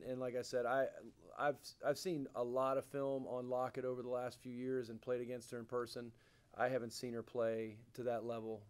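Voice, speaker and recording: A man's voice: mid-range voice, darkish tone, smooth. A middle-aged man, a college basketball head coach, answering questions at a post-game press conference. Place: indoor press conference room, seated at table.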